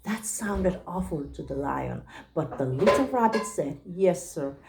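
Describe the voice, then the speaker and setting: A woman's voice: on the low side, sing-song, measured pace, gravelly, background noise. A woman reading a storybook aloud to young children like a teacher, with simple asides to them.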